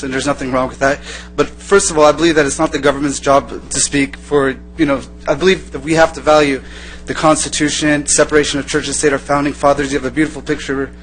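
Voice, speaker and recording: A man's voice: medium pitch, flat delivery, fast talker, a bit bright, faint music behind, rough recording. A man speaking as a resident in public comment, earnest and loosely improvised, circling back over his points. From born in Iran, raised in the United States. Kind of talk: informal public speech. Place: council meeting chamber.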